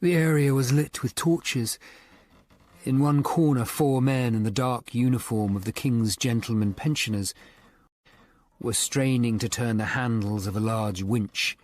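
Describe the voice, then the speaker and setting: A man acting as a grave, observant Tudor lawyer, narrating his investigation in a measured way. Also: mid-range voice, fairly even intonation, darkish tone, dry recording, close to an everyday voice. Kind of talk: scripted first-person drama narration.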